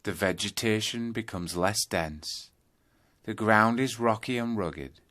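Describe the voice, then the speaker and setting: A man narrating a scripted guided yoga nidra meditation, telling a journey in measured second-person storytelling. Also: fairly low pitch, a bit bright.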